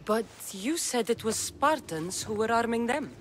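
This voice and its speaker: A woman's voice: a little high, animated, unhurried. A woman playing a tough, determined Spartan mercenary in a video game cutscene, terse and dry. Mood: blunt, indignant.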